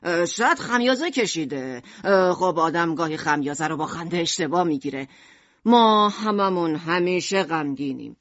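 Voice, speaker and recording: A woman voicing a gloomy, dismissive shopkeeper father in an audiobook, deadpan with hesitant hums. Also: on the low side, no echo, lo-fi sound.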